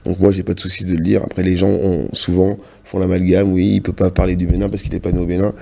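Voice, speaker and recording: A man from France speaking as a TV studio guest, earnest and halting, often restarting his sentences. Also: sits low, little pitch movement, gravelly, dark tone. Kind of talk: casual TV interview answers. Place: TV studio set.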